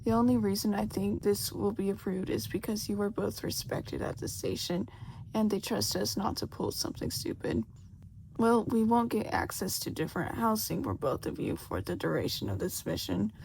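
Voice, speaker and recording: A woman voicing a serious male police detective in an audiobook, measured and businesslike as he explains a plan. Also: mid-range voice, lively intonation, gravelly, a little mellow, slight background noise, low-quality recording. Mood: businesslike, cautious.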